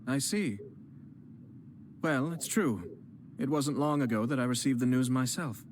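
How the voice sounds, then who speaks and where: A composed young noble clan head, voiced by a man, formal and measured, with a quiet teasing wit. His voice sits at an ordinary middle pitch, and game music plays clearly behind him. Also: animated, close to an everyday voice. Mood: courteous calm. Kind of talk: game voice acting.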